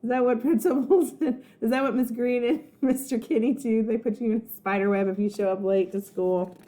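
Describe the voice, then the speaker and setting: A woman's voice: fairly high pitch, fairly even intonation, normal speed, dark tone. A woman reading a children's storybook aloud like a first-grade teacher, expressively, with explanations and check-ins for young listeners.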